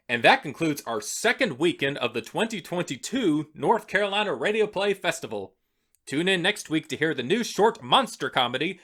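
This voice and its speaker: A man's voice: fairly high pitch, fairly bright tone. A man reading a scripted credits announcement as a radio host, in a presentational manner. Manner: presentational, clearly read.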